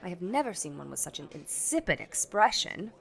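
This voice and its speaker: Fussy, exasperated saleswoman, very animated. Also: on the low side, a little fast, vocal fry, full character voice. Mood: harried grumbling. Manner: indignant, clipped, scolding. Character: exasperated, stern, fussy department store saleswoman. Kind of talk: 1940s radio sitcom acting.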